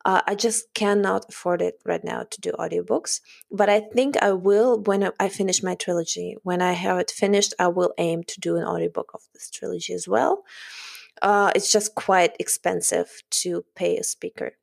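German-accented woman, a little gravelly. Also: lowish voice, fairly even intonation, normal speed. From Russian-German background, lives in Germany. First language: German or Russian. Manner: thoughtful, laughs often, self-conscious. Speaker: author guest. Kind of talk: casual podcast interview.

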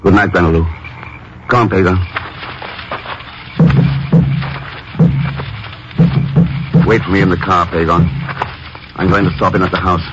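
Man acting deep-voiced, cool radio secret agent. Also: flat delivery, fast talker, close to an everyday voice. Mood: calm. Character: cool, composed secret agent, steady and reassuring. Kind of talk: 1950s radio drama acting. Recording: muffled, noisy old radio recording.

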